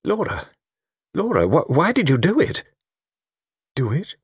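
A man playing a passionate, protective gentleman lover in a storybook, speaking in impassioned, broken phrases. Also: on the high side, huge pitch swings, measured pace, breathy edge, no echo, clean studio sound, pitched above the performer's own voice, full character voice. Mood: pleading.

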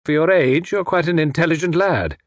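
A man's voice: on the high side, brisk, no echo, studio quality, voice pushed up. A man voicing a suave, menacing, well-educated foreign spy who is formal and mockingly polite in a story. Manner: mockingly polite, formal, snarling when crossed.

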